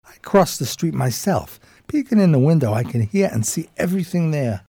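An actor playing a streetwise, homeless New buddy, narrating a radio drama in folksy, admiring slang. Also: male voice, fairly high pitch, animated, moderate pace, mellow, slight background noise. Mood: wry storytelling.

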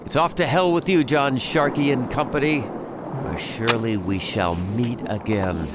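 An actor playing a bereaved husband turned vengeful pirate in a radio drama, speaking in deliberate, menacing speeches. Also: male voice, mid-range voice, heavy background noise, natural voice.

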